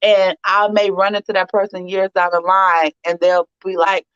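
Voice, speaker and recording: A woman's voice: mid-range voice, flat delivery, normal speed, a bit bright, clean tone, no echo, dull audio. A woman hosting a casual interview, talking in an encouraging, informal way. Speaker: host.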